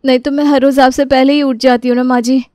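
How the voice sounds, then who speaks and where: Woman voicing an overworked young daughter-in-law, high voice. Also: level tone, fast talker, mellow, dry recording, studio quality, lightly acted. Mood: pleading. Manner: pleading, complaining. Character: meek, overworked young Indian daughter-in-law, privately resentful. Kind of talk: dramatised Hindi storytelling.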